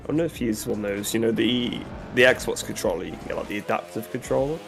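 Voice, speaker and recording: A man's voice: lowish voice, fast, vocal fry, music in the background. An English man chatting casually with a friend on a game stream call, making dry remarks.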